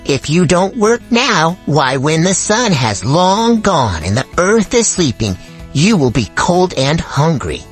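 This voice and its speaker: A woman's voice: low voice, big pitch swings, slow pace, faint music behind, pitched below the performer's own voice, exaggerated voice. A woman voicing a hardworking, kindly male ant in a children's storybook, speaking with earnest, effortful emphasis. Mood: stern warning. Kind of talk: animated children's storybook read-aloud.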